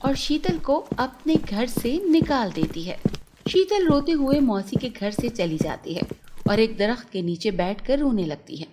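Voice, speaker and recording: A woman's voice: fairly high pitch, lively intonation, measured pace, background noise. A woman narrating a scripted fairy tale for children. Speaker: storyteller.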